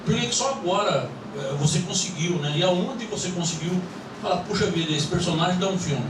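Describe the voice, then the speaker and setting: A man's voice: high-pitched, a little fast. A man moderating a panel, asking long, wordy questions full of tags. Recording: echoey room, distant mic, noise.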